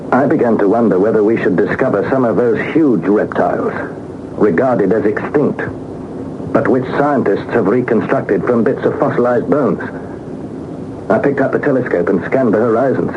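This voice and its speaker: Man playing earnest young nephew, lively old-radio voice. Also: medium pitch, normal speed, natural voice. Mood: reflective, wondering. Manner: articulate, expressive, formal storytelling. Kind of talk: 1960s radio drama acting and narration. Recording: muffled, noisy old radio broadcast.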